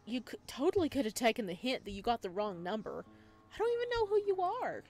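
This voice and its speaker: A woman acts out the defiant protagonist of a horror visual novel. The delivery is blunt and indignant, pushed louder and higher, over music. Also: big pitch swings, fast. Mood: irritated. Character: wary, irritated, defiant sick protagonist of horror game.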